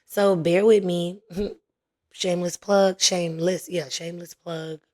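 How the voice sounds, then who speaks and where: A young woman hosting her own podcast in casual chat, her voice raspy and hoarse as it recovers, talking playfully with lots of slang. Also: fairly low pitch, fairly even intonation, dark tone. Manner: chatty, playful, slangy, drawn-out emphasis. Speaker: podcast host.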